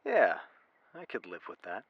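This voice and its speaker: A man's voice: lowish voice, wildly swooping pitch, normal speed, breathy edge, no echo, lo-fi sound, heavily acted. A man playing an easygoing, lovestruck young musician in an audio drama, talking casually. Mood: tender, amused. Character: easygoing young musician living out of a van, in love.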